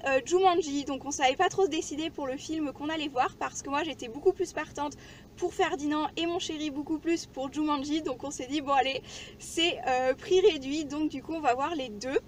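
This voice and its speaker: A woman with a high-pitched voice chats informally, as if filming a casual vlog. Her delivery is chatty and everyday. The recording carries some light background noise. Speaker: vlogger.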